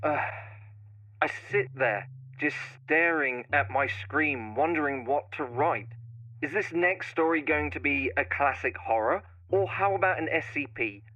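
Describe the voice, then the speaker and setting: A man acts out a brooding, menacing writer narrating his own horror tale. The delivery is slow and deliberate with dramatic pauses, in a fairly high, tense, bright voice. The recording is slightly dull and narrow. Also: no room sound. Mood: brooding, restless. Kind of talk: first-person scripted horror monologue.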